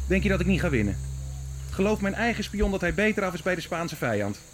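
An actor playing an imperious, suspicious Dutch prince and army commander in a radio play, brusque and challenging. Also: male voice, high voice, sing-song, fast, slight background noise. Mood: indignant, challenging.

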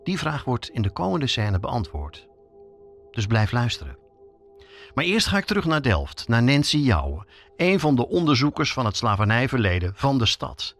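A man's voice: medium pitch, big pitch swings, moderate pace, soft background music. A man hosting a podcast as a journalist, reading scripted narration that explains things directly to listeners.

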